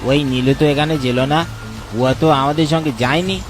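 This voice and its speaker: A man's voice: on the high side, fairly even intonation, moderate pace, pitched above the performer's own voice, close to an everyday voice. Man as anxious village man, music behind. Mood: fearful. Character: worried, urgent village man leading frightened friends. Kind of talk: animated horror story dialogue.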